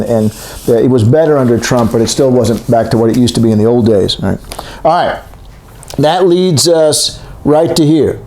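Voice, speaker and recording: A man's voice: mid-range voice, dark tone. Rambling sixty-something male economics lecturer. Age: 60s. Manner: rambling, self-correcting, conversational. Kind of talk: recorded lecture. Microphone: recorded for a video lecture. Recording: echoey room.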